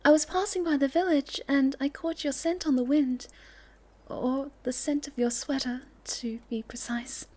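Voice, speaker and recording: A woman voicing a shy, sweet, curious young moth girl in an ASMR roleplay, speaking softly and politely with a hesitant stammer. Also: high-pitched, steady tone, moderate pace, natural voice. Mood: shy, nervous.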